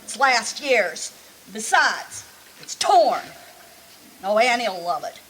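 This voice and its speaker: A woman's voice: on the high side, very animated, slow pace, a bit bright, full character voice, rough recording. A woman playing a bossy but fond old country aunt, with folksy, scolding talk, in old-time radio drama style. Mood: insistent. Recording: live performance with background noise.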